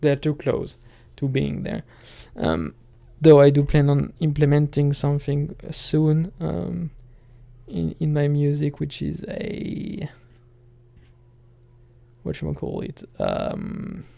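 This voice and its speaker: A man talking casually on his own channel in a hesitant, halting way, thinking out loud as he goes. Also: on the high side, wildly swooping pitch, vocal fry. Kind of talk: casual rambling solo talk.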